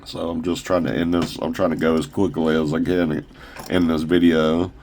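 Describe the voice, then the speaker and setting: A man casually showing off his own shoe designs on a phone camera, rambling with fillers and restarts, his voice a little raspy from talking a long time. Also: a bass voice, level tone, darkish tone, a little hiss or hum. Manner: halting, loose, full of fillers and restarts. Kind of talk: casual rambling product showcase.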